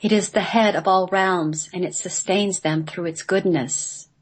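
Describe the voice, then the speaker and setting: Female guest reader with a lowish voice, reciting mystical scripted prose solemnly. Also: fairly even intonation, a little slow, breathy edge. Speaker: guest reading a script she wrote.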